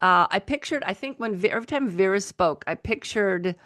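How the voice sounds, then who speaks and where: A woman hosts a casual interview show, putting questions to her guests conversationally. Her voice sits at a middle pitch. The recording is dry, without audible room. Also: animated, fairly quick, fairly bright tone, no echo. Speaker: podcast host, interviewer. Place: remote video call.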